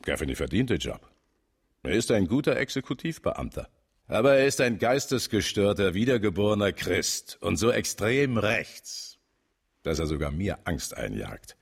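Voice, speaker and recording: A shrewd older police chief commands in a very deep, husky voice. He is calculating and blunt, setting out his schemes with measured authority in radio drama style. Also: big pitch swings, exaggerated voice. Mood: sardonic, confiding. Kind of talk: German radio drama acting.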